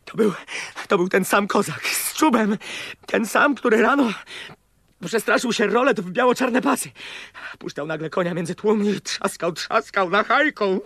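High-voiced young man, agitated, halting repetitions, radio drama, dry close sound. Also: fairly bright tone, dry recording. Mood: haunted, agitated. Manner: agitated, halting, repeating words.

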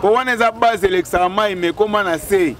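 A man's voice: sits high, quick pace, a bit bright. A man in his forties, interviewed on an outdoor market street, speaking emphatically and with enthusiasm into a handheld mic held close. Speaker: resident interviewee. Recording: crowd noise outdoors.

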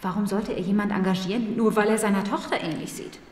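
A woman's voice: medium pitch, fairly even intonation, fast, a little mellow, natural voice. Roomy radio play: actress as polite young woman consulting a detective, earnest, puzzled, slightly distant from mic. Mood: puzzled, questioning. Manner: polite, questioning. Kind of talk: German radio play acting. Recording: roomy, distant, faint noise.

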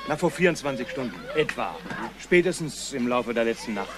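An actor playing a curt, clinical police doctor in a German crime radio drama. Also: male voice, mid-range voice, animated, brisk, lightly acted. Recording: clear background noise.

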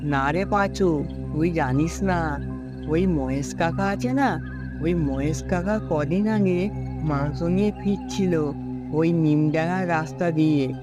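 Casting: male actor as timid village youth and narrator for horror cartoon, high-pitched, music clearly behind. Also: slow. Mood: wary, worried. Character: timid, worried young village man telling his tale. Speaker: narrator and character. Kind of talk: cartoon ghost-story narration and acting.

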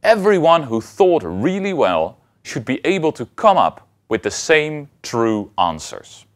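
A man in his thirties giving a scripted lecture as a university teacher, with deliberate, emphatic phrasing. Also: a little high, very animated. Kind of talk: scripted educational lecture to camera.

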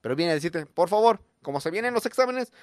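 A man's voice: high voice, lively intonation, quick pace, no echo, clean studio sound. A man playing an exasperated deputy school director, scolding a class in a crude, repetitive way in a comic radio sketch. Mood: stern.

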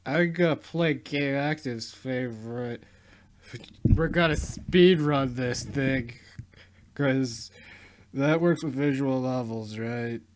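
Slow-talking young man rambling over gameplay. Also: medium pitch. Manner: rambling, mock-boastful, self-mocking. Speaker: YouTuber. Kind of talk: casual gameplay commentary.

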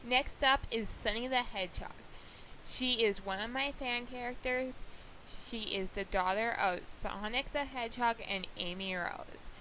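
A woman giving a casual video update as an amateur animator, halting and pausing in mid-sentence. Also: medium pitch, sharp tone, slight background noise, rough recording. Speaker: amateur animator presenting a voice audition call.

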